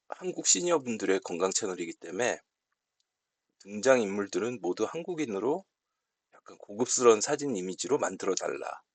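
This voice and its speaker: A man with a lowish, gravelly voice walks through a software tutorial step by step. His delivery is polite and explanatory, with lively swings in pitch. It is unscripted instruction from someone showing how things are set up. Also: no room sound.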